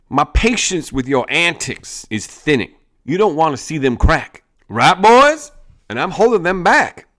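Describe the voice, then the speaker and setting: A man voicing a menacing male outlaw gang leader in a loud, threatening drawl. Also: sits high, voice pushed up, heavily acted.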